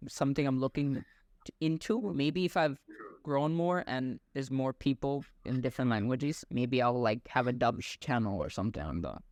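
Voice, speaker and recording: A young host from Bangladesh who speaks at a mid pitch. He chats in a relaxed way and thinks aloud as he goes. The sound is dry and close, with no room echo. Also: moderate pace. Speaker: podcast host. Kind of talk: casual online interview chat.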